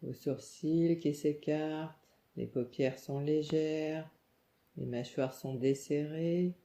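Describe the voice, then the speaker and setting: A woman leads a guided relaxation meditation, calm and soothing, giving gentle instructions in short phrases with pauses. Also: low voice. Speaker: yoga nidra meditation guide. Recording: muffled, echoey room sound.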